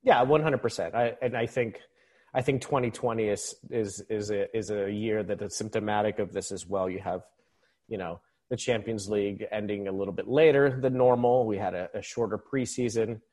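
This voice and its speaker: Male, 30s, from the Philippines, lowish voice, casual football podcast guest. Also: fairly even intonation, normal speed, a little mellow. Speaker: podcast guest, football supporters' club member. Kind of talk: casual conversational sports commentary.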